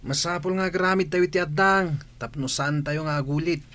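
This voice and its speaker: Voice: medium pitch, normal speed, fairly bright tone, natural voice. A performer playing a scheming, slacking teenage male student in an audio drama. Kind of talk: amateur audio drama acting.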